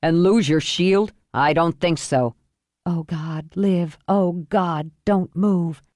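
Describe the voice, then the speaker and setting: Woman reader doing steadfast cop in a thriller audiobook, lowish voice, firm, clipped, protective. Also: slow pace, darkish tone, no room sound. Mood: alarmed. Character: steadfast policeman, tough, protective, tense under pressure. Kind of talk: audiobook thriller character acting.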